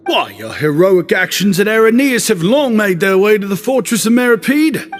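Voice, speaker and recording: A fawning smooth-talking shop clerk. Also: male voice, fairly high pitch. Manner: ingratiating, effusive. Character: flattering young male shopkeeper, smooth talker. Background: game music.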